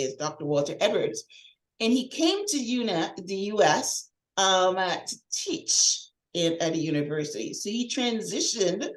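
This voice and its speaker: Guyana-raised woman host, low-pitched, lively interviewer; roomy, distant mic sound. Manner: lively, laughs easily, interjects with quick questions. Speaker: podcast host. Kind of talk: interview podcast, reading a guest introduction then chatting. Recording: echoey room, voice a bit off-mic.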